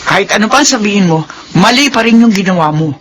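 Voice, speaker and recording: A woman's voice: medium pitch, expressive, fast talker, fairly bright tone, slight background noise, close to an everyday voice. An actress playing an older, well-off matriarch in a Filipino radio drama, protective and firm in her admonishing. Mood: stern, admonishing.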